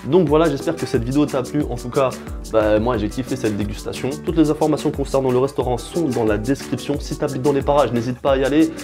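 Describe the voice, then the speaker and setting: A man's voice: mid-range voice, fast talker. Man narrating casually over music. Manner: joking, slangy asides. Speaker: vlogger host.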